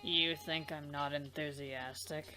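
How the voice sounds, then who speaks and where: A woman's voice: deep voice, steady tone, measured pace, bright, forceful tone, faint music behind. A woman voicing a blunt, defensive young female alien cleaner in an amateur visual novel dub, curt and foul-mouthed. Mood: irritated. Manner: irritable, curt, profane.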